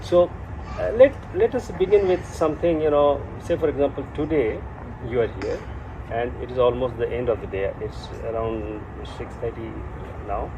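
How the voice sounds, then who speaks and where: A man interviews a guest in an informal chat, often restarting his sentences and leaning on fillers. His voice sits at an ordinary middle pitch. Constant noise runs under the recording. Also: moderate pace. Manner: hesitant, many restarts and fillers. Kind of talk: casual interview.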